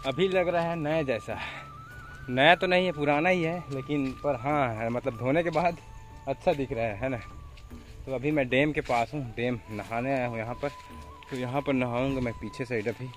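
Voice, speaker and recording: A man's voice: medium pitch, normal speed, faint music behind. A young man with a Chhattisgarhi accent chatting casually to camera as a village vlogger, recorded outdoors on a phone at arm's length. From India. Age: young adult. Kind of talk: casual vlog chat to camera. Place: outdoors in a rural village area.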